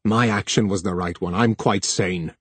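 Man reading as an angry young tech guy, bitter, husky, dry close sound. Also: deep voice, fairly even intonation, moderate pace, a bit bright, dry recording, voice pushed down. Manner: bitter and defensive. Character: desperate, angry young male technician.

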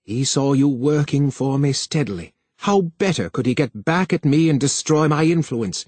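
A man's voice: a little high, expressive, normal speed, no echo, voice pushed up. Man voicing a cranky old council elder. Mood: insinuating. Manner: sharp, insinuating, commanding.

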